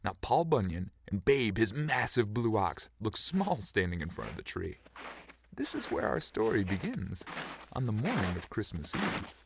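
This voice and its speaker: A man's voice: lowish voice, big pitch swings, moderate pace, vocal fry. A man narrating a scripted story as a dramatic storyteller. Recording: loud ambient noise behind voice.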